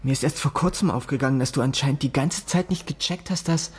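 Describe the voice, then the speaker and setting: A man's voice: medium pitch, quick pace, faint music behind, close to an everyday voice. An actor playing a serious, reproachful man in radio drama dialogue, speaking plainly. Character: earnest, reproachful man, a disillusioned lover and colleague.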